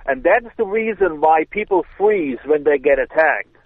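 A man's voice: on the high side, moderate pace, dry recording. German-accented man on a tinny phone line. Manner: relaxed explaining, hesitant restarts. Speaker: self-defense instructor guest. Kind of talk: casual chat.

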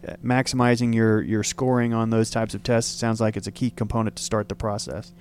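A man's voice: on the low side, level tone, fairly quick, a little mellow. A man hosting an interview, talking at length with many fillers and restating his guest's points. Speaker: radio show host. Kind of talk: interview talk.

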